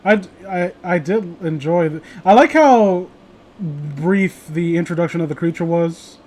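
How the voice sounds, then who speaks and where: A man's voice: fairly high pitch, a little slow, darkish tone. A man hosting a casual discussion of horror stories, rambling, joking and swearing freely. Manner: rambling, foul-mouthed, joking. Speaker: host of a horror story reading show. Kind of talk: casual chat and commentary on stories. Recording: noisy home recording, clear background hiss.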